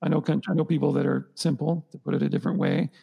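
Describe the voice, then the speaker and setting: Fast, gravelly, husky man rambling. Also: on the high side, fairly even intonation, lo-fi sound. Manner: rambling, restarts sentences, thinks aloud. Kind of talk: conversational podcast discussion.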